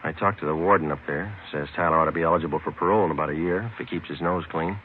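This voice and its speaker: An actor playing a blunt, no-nonsense police detective, deadpan and clipped, in an old radio crime drama. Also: male voice, a bass voice, very fast, a little hiss or hum. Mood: matter-of-fact. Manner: deadpan, clipped short sentences. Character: blunt, terse, no-nonsense male police detective narrating cases. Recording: narrow-band old radio recording, music behind.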